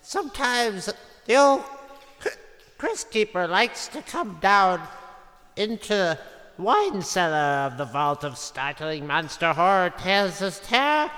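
An actor playing a cackling, tipsy old horror host with a theatrical, drawn-out, campy delivery. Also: male voice, high voice, big pitch swings, bright, forceful tone, exaggerated voice. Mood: gleefully macabre. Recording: long cavernous reverb.

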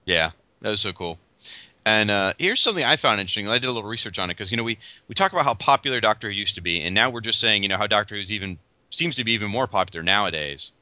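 Bright-voiced male podcast host, dry lo-fi audio. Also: lowish voice, animated, rapid-fire, dry recording. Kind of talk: casual podcast chat.

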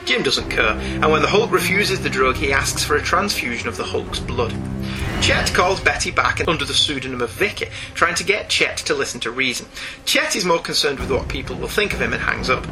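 A man's voice: mid-range voice, animated, a little fast, over music, rough recording. A man hosting a podcast, reading out a scripted review in a narrator's style. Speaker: podcast host.

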